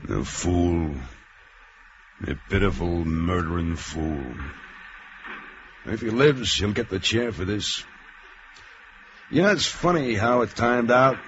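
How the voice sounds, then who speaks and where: Scornful American detective, low-voiced and bitter, vintage radio thriller on a muffled recording. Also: male voice, lively intonation, slow, long pauses, a bit bright, full character voice. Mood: grim contempt. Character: grim, scornful police detective standing over a dying killer. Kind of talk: 1940s-50s American radio thriller acting. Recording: noisy, dull old radio recording.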